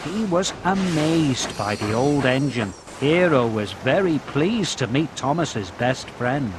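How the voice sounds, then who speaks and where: Animated, slow-paced male children's storyteller. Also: medium pitch, background noise. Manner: expressive, measured storytelling for young children. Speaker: narrator of a children's video game. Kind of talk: scripted children's storybook narration with game prompts. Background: background music.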